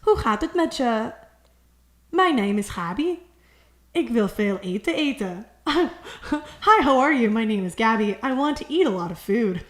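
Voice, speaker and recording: A young woman hosting a podcast, bubbly and playful, with quick laughs and silly asides. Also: a little high, big pitch swings. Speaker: podcast host. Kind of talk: casual podcast chat.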